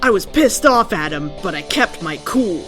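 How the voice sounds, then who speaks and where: A woman's voice: mid-range voice, very animated, moderate pace, a bit bright, soft background music. A woman reading fan fiction aloud as narrator, in a mock-serious comic style. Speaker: story reader.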